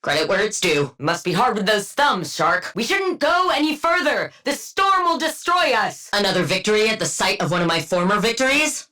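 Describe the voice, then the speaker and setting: A woman playing a brilliant, dry-witted scientist villain-antihero in a video game, speaking in a clinical, deadpan and wryly bookish way. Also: mid-range voice, normal speed, few pauses. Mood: urgent, commanding. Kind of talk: video game combat voice lines.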